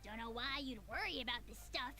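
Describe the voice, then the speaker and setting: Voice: medium pitch, brisk, natural voice. An actor voicing a tiny, boastful male dragon spirit who talks in a bossy, blustering way in a game cutscene. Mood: mocking. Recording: game music under dialogue.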